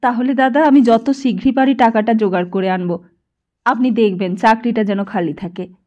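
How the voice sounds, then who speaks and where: A woman's voice: fairly high pitch, moderate pace, darkish tone, muffled recording, lightly acted. A woman voicing an anxious, hard-working poor mother in an audiobook, earnest and urging. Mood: pleading.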